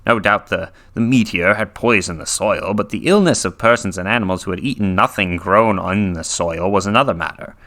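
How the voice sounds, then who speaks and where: Male narrator, fairly low pitch, for dramatic horror fiction read-through; theatrical delivery with hushed, breathy dips. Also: brisk, few pauses. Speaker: reader.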